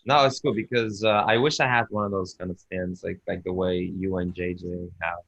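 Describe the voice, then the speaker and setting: A man's voice: low voice, fairly even intonation, moderate pace, a bit bright, dry recording, lo-fi sound. A man who sings as a hobby, chatting in a laid-back, joking way in a group conversation. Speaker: amateur singer. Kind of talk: casual group chat.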